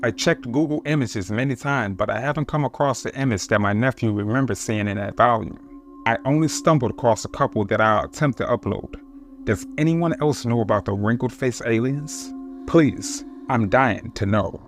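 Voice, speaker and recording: A man narrating true-encounter horror stories in a steady, measured reading voice. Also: mid-range voice. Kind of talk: scripted horror story narration.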